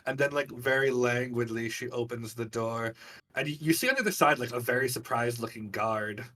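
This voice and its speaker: A man running a tabletop game as game master, improvising narration in animated, conversational storytelling. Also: mid-range voice, fairly quick.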